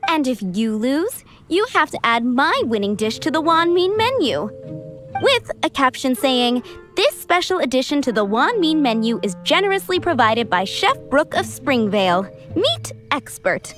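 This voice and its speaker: An opinionated village cook in a video game. Her voice is high and animated, with a proud, emphatic delivery. Faint music sits underneath. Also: normal speed. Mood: challenging. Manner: emphatic, haughty. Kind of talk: English video game voice acting.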